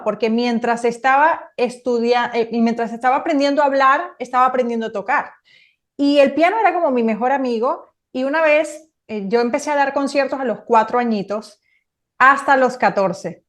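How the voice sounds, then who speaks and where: A woman from Venezuela with a Venezuelan accent, telling her personal story earnestly as an interview guest. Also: high-pitched. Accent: Venezuelan Spanish. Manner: earnest, expressive, with many "wow"s. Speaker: guest, mentor and coach.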